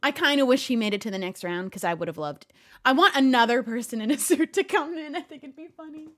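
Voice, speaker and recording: A woman's voice: high voice, fast talker. A woman streamer giving casual reaction commentary, laughing often as she talks. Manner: giggly, animated, talks to a pet.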